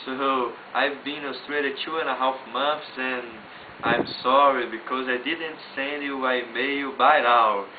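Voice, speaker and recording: A young Brazilian man speaking English with a Portuguese accent in a halting, apologetic way, often laughing, filmed at home by a flatmate. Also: medium pitch, background noise. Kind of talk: casual video message. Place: house, indoors. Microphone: camera held by flatmate. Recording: tinny, roomy lo-fi home video.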